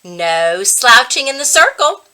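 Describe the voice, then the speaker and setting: A woman reading a storybook voices a female classroom teacher who gives brisk, kindly but firm instructions. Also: fairly high pitch, very animated, sharp tone, full character voice. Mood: gently correcting. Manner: clear, instructing, classroom-commanding.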